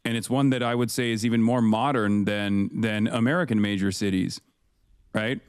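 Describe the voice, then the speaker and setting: A man's voice: fairly low pitch, fairly even intonation, normal speed, clear, resonant tone, no echo, clean studio sound. A man in his thirties from Turkey, talking loosely in a podcast conversation with many fillers and restarts. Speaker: political commentator, guest.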